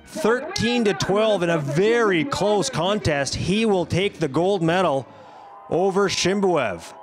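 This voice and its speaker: A man giving scripted highlights commentary on a sports competition as the commentator. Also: lively intonation, takes it slowly, faint music behind.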